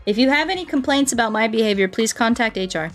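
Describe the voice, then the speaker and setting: A woman's voice: fairly high pitch, animated, fast. Chatty, playful woman streaming a game. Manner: playful, rambling, talks to chat. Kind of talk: casual livestream chat while playing a game. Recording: music behind the voice. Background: music.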